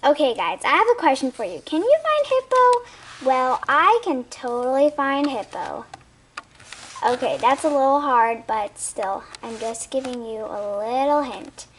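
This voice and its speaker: Child storyteller, very high voice, slow expressive read-aloud delivery, faint noise behind. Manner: expressive, with repeated words and sound effects. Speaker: storybook reader. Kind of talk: read-aloud storytelling with asides to young listeners.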